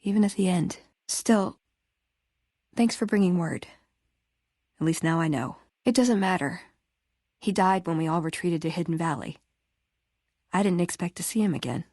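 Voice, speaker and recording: A woman's voice: lowish voice, dark tone, dry recording, studio quality. An actress playing a witty, eager young woman scribe and scavenger in a video game, with a wry, chatty manner. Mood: subdued, wistful. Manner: wry, chatty, quick to joke. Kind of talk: video game character dialogue.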